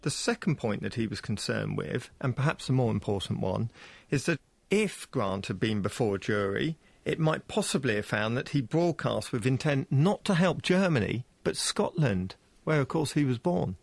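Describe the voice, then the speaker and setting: A man's voice: mid-range voice, animated, moderate pace, gravelly, dry recording. A man explains things as an expert interviewee, in a considered, formal and precise manner.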